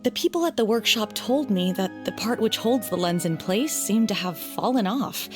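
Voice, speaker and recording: A woman's voice: mid-range voice, animated, normal speed, over music, lightly acted. A woman voicing a dutiful, apologetic film crew assistant in an anime-style video game, deferential and a little hesitant.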